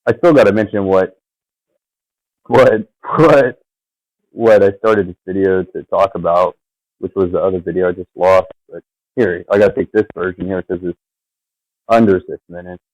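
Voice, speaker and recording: A man's voice: deep voice, moderate pace, pauses often, darkish tone, dry recording, poor audio. A man rambling casually at his computer, drifting off and filling gaps with fillers as he talks over his own video. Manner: meandering, trails off, many fillers. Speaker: vlogger.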